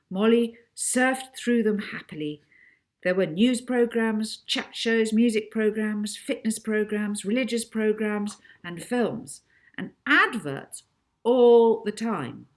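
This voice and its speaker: A woman reading a children's novel aloud as an expressive, deliberate audiobook narrator. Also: medium pitch.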